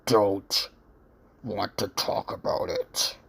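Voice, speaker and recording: A big sulking storybook engine played by a man, glum and grudging. The voice is low, extremely gravelly and very slow, with noise behind it. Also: wildly swooping pitch, exaggerated voice. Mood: sulky, embarrassed. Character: sore, sulking big male steam engine.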